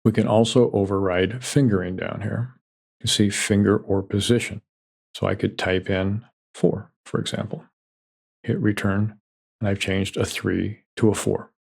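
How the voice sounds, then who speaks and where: A man giving a calm, methodical, step-by-step software tutorial over a screen recording. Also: fairly low pitch, normal speed, gravelly, breathy edge.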